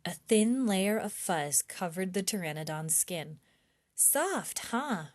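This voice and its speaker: A woman reading a children's chapter book aloud in an expressive storytime style. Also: lowish voice. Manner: slow, clear, animated storybook delivery.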